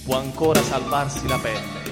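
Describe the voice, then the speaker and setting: An actor playing a mysterious, terse secret agent giving urgent commands in a radio drama. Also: male voice, mid-range voice, moderate pace, close to an everyday voice. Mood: commanding, urgent. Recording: reverberant hall, loud music over voice. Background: loud drums and music.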